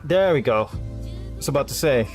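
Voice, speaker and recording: A man's voice: mid-range voice, lively intonation, normal speed, faint music behind. A man giving casual gameplay commentary, thinking aloud and muttering half-finished plans with some mild swearing. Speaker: video game streamer.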